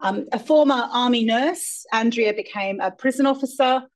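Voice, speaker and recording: A woman hosting a live lecture event, giving informal, halting introductory remarks with frequent restarts. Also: fairly high pitch. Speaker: event host, charity chief executive.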